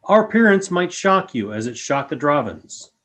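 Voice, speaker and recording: Man acting a calm, higher-pitched alien creature. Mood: grave, measured. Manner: formal, measured. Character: calm, grave alien creature speaking of its peaceful species. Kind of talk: fan radio play acting.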